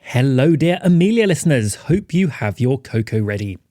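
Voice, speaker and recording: A man's voice: mid-range voice, very animated, normal speed, warm tone, dry recording, studio quality. A man hosting a podcast, reading a playful scripted introduction to listeners. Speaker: podcast host.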